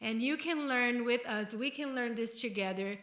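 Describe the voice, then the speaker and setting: A middle-aged woman with a Brazilian accent speaks in a high voice, rambling earnestly as she co-hosts a casual podcast. Her tone is bright and the room adds a clear echo. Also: moderate pace. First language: Portuguese. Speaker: podcast host. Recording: roomy, echoey room sound.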